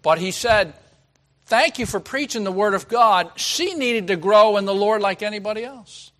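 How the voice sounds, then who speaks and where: A pastor with a raspy voice preaching a sermon in a folksy, chatty way, asking the congregation questions. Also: male voice, sits high, moderate pace, fairly bright tone. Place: church.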